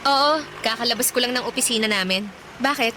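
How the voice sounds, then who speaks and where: A woman's voice: on the high side, brisk, heavy background noise, natural voice. An actress playing a torn, evasive young engaged office woman in a Filipino radio drama. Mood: affectionate. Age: young adult.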